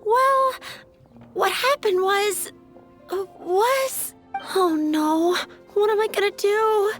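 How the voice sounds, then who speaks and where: Very high-voiced timid flower girl. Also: slow pace, a bit bright, faint music behind, full character voice. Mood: flustered, anxious. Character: timid, dreamy, anxious young female flower seller. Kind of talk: video game character acting.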